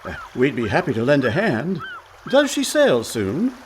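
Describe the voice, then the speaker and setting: Man playing a wildly animated, glib con man. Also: mid-range voice, measured pace, slight background noise. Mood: glib, persuasive. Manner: glib, theatrical, cajoling. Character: breezy, smooth-talking older brother, con man. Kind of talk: comic radio play acting.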